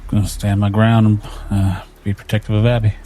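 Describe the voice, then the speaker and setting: A man's voice: lowish voice, little pitch movement, fairly quick, dark tone. A man playing a tabletop game, talking casually through his moves.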